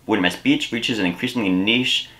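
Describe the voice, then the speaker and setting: A young man doing a scripted comedy sketch in a deadpan, rambling way, recorded indoors in a small room. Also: fairly low pitch, moderate pace, poor audio. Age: young adult. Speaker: comedy YouTuber.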